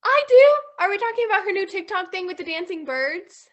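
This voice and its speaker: A woman's voice: very high-pitched, lively intonation, brisk. A young woman playing a ditzy, gossipy fairytale girl in a comic stage play. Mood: excited. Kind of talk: comic stage acting over video call.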